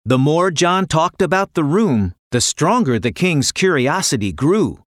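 A man's voice: on the high side, big pitch swings, a little slow, dry recording, clean studio sound. A man narrating a children's fairy tale in a dramatic, playful storytelling manner. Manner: dramatic, playful sound words.

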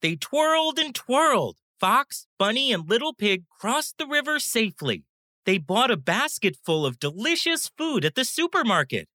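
A woman narrating a children's bedtime story in an expressive storytelling manner. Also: a little high, moderate pace, dry recording, studio quality.

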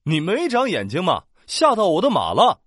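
A woman's voice: lowish voice, huge pitch swings, moderate pace, dry recording, clean studio sound, pitched below the performer's own voice. A woman voicing a fierce, rude carriage rider in a children's storybook, harsh and scolding. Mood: angry.

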